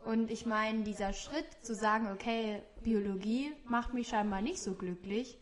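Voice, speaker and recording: Calm, slightly high-voiced female interviewer. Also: moderate pace. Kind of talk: reflective interview questions.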